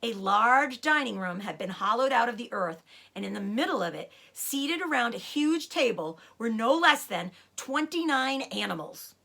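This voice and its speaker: A woman reading a children's story aloud as an expressive narrator, with dramatic emphasis. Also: a little high, normal speed, gravelly.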